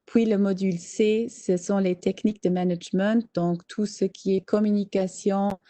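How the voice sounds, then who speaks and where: Woman, German native speaker, hesitant info-session presenter, mellow tone, dry close sound. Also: mid-range voice, fairly even intonation, normal speed, dry recording. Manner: hesitant, many fillers, checks audience understanding. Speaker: training advisor. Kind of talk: online information session.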